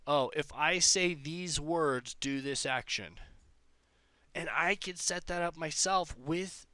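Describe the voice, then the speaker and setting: A man's voice: fairly high pitch, bright, forceful tone, clean tone, no room sound. A man giving a casual explanatory monologue as a tech presenter, enthusiastic and emphatic, often repeating phrases to drive points home. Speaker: presenter of a tech demo video.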